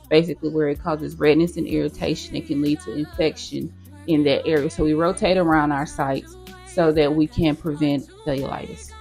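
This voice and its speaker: A nurse chatting casually with her viewers in a how-to vlog, talking straight to them as y'all, her voice hoarse. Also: low-pitched. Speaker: nurse sharing her own experience.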